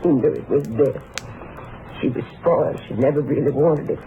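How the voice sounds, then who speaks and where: A woman's voice: very deep voice, moderate pace. An actress playing an older, grieving and bitter mother in a 1950s radio drama. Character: grieving, bitter elderly mother of a murdered son. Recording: tinny 1950s radio recording, faint hiss.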